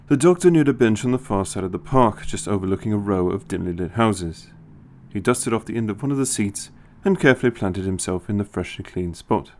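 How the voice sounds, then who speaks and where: Low-voiced man narrating a story. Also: darkish tone.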